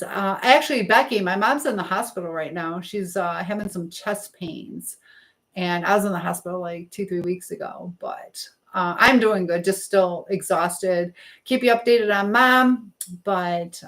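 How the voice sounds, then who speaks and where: A middle-aged woman hosting a casual live stream, rambling between viewer comments and the baking she is doing as she talks. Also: mid-range voice. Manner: rambling, hopping between chat and task; chatting while baking.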